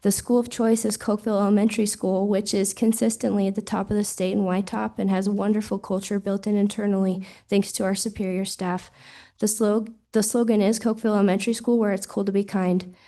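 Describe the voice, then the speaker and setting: A woman's voice: medium pitch, level tone, quick pace, mellow. A woman who has lost her voice, reading earnest, proud public testimony as a parent at a hearing, into a desk microphone. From Wyoming. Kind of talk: prepared public comment.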